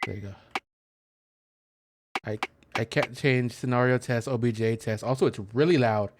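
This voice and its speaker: A man's voice: medium pitch, normal speed, noisy background. A man doing casual let's play commentary, explaining things in a hesitant, rambling way into a close mic. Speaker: gaming content creator.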